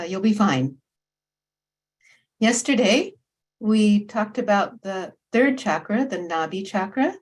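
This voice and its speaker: A woman leads an online meditation program as its host. Her voice sits at a middle pitch as she gives welcomes, practical notes and introductions over a video call. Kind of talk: welcoming announcements to a group.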